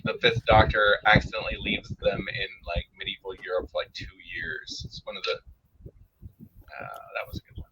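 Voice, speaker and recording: A man's voice: fairly low pitch, expressive, normal speed, gravelly, lo-fi sound, very noisy. A man giving emphatic opinions as a guest in a casual panel chat on a group call.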